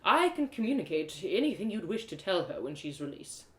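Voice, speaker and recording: A woman's voice: fairly low pitch, sing-song, brisk, a bit bright. Woman playing haughty elf man, storybook villainy, mocking, archaic phrasing, echoey room. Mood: condescending. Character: smug, haughty male elf guard, taunting and self-righteous. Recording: roomy echo.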